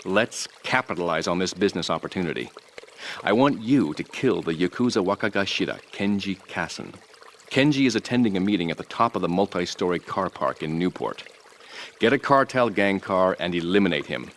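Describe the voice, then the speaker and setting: A man voicing a suave, scheming rich tycoon who speaks in a calm, polished, dryly ironic way. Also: sits low, a little fast, slight background noise. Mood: smooth.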